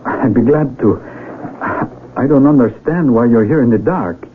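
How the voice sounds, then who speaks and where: An actor playing a polite, bewildered young mountaineer in a radio drama, hesitant and earnest with exclamations. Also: male voice, medium pitch, normal speed, close to an everyday voice. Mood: apologetic. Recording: narrow-band noisy old radio drama recording.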